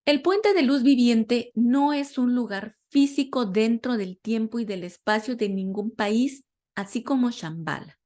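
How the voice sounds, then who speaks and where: A Latin American woman teaching a metaphysics class in a conversational way, often pausing to search for words and correct herself. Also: on the high side, mellow. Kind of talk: informal spiritual class talk.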